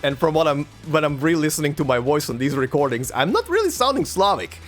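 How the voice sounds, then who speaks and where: A Croatian man whose English mixes American and British with a slight German tinge, hosting a let's play and chatting casually with viewers, halting with many fillers and repeated words. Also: fairly high pitch, sing-song, a bit bright, faint music behind. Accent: mix of American and British English, slight German tinge.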